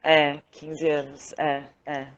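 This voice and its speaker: A Brazilian woman from Porto Alegre speaking reflectively as an interview guest, halting and searching for her words. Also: deep voice, level tone, dull audio, crowd noise. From Porto Alegre, southern Brazil. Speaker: podcast guest, theatre director, playwright and actress.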